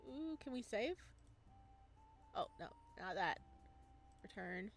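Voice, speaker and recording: A woman hosts a gaming let's play in a medium-pitched voice. She reads on-screen text aloud and chats casually, with playful, excitable reactions. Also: slow, faint music behind. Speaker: gaming channel host.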